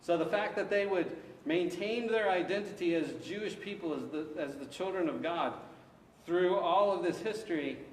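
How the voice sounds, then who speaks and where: Pastor teaching in an echoey church hall. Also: male voice, high voice, moderate pace, far from the mic, slight background noise. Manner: conversational, takes questions, frequent restarts and acted asides. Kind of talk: interactive Bible study teaching. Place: church sanctuary.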